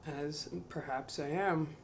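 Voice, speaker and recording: A man reading a spiritual book aloud to camera. He adds slow, musing commentary, questions himself, and trails off into mumbles. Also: fairly high pitch, slight background noise.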